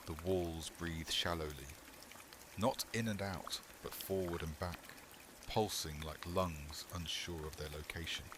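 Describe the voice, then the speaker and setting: Slow, deep-voiced man narrating horror. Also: steady tone, noisy background. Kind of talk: scripted second-person horror narration, ASMR.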